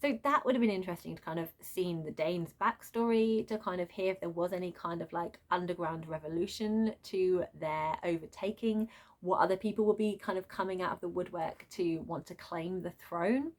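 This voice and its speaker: A woman giving an unscripted, chatty book rant as a reviewer, exasperated and prone to animated asides. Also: lowish voice, normal speed. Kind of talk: unscripted book rant, casual vlog chat.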